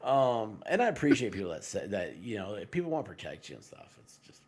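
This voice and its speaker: A man talking casually as a live streamer, telling stories with big swings between loud and quiet. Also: fairly low pitch, very animated, brisk, crowd noise. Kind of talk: casual chat on a livestream.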